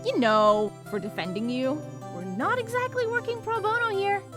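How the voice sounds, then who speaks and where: A woman's voice: very high-pitched, normal speed, over music. A woman voicing an exasperated, sardonic senior lawyer and mentor in a comedic cartoon parody. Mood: awkwardly polite. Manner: dry, impatient, sarcastic.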